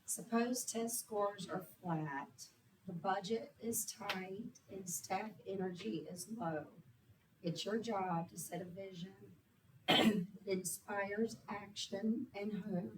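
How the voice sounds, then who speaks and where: A woman with a hoarse, failing voice asking an interview question carefully. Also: medium pitch, slow. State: hoarse, losing her voice. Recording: distant mic, faint noise.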